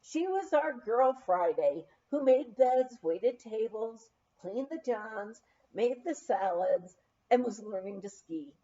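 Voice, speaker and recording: Slow, animated, high-voiced woman reading. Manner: animated, unhurried storytelling. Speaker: audiobook reader. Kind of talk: reading a memoir aloud. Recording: dull, lo-fi recording.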